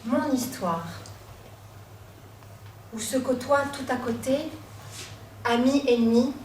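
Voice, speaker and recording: A young woman from Corsica, telling her own story on stage, with dramatic pauses and self-mocking asides. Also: on the high side, darkish tone, slight background noise. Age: 20s. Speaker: student storyteller. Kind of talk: personal storytelling talk. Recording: echoey room, from a distance.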